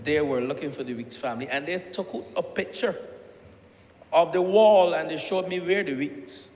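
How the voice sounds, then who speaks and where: A man's voice: on the high side, a little mellow. Barbadian man addressing a parliament chamber. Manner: deliberate address with rising emphasis on listed sites. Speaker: member of parliament. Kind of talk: parliamentary speech. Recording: echoey chamber with long reverb.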